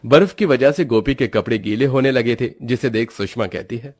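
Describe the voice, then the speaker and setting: A man's voice: mid-range voice, normal speed, a little mellow. A man giving scripted narration as the storyteller of a moral tale.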